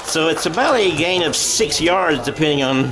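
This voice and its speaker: A middle-aged American man does radio-style football play-by-play. His voice sits a little high, and he is folksy, correcting himself as he follows the action. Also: expressive, normal speed, rough recording. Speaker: play-by-play sports announcer.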